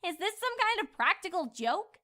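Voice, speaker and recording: A woman's voice: very high voice, big pitch swings, moderate pace, sharp tone, exaggerated voice. A woman voicing an anxious, exasperated young female pony scholar in a cartoon fan reading, with indignant, emphatic questioning. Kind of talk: cartoon fan-fiction voice acting.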